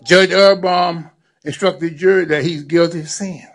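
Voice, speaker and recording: A man's voice: high-pitched, steady tone, moderate pace. Seventy-year-old man preaching to a crowd. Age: 70s. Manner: preacherly, repeating phrases for emphasis. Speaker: lawyer and community activist. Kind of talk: speech to a gathering.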